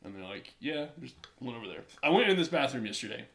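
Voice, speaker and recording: A man's voice: mid-range voice, big pitch swings, fast, gravelly, a bit bright. A young man co-hosting a podcast, telling a casual story and laughing often. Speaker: podcast co-host. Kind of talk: casual chat.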